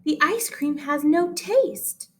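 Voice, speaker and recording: A woman's voice: high voice, animated, slow pace, a bit bright, voice pushed up, heavily acted. A woman reading a storybook as a sweet, picky, puzzled schoolgirl who complains plaintively. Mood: puzzled, let down.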